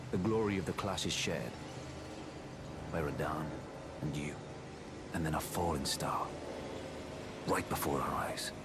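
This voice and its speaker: An actor playing a gruff, blunt veteran warrior in a fantasy game, matey and measured. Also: male voice, rumbling low. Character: gruff, plain-spoken veteran warrior man, admiring and companionable. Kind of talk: fantasy game character dialogue. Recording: music playing behind the voice.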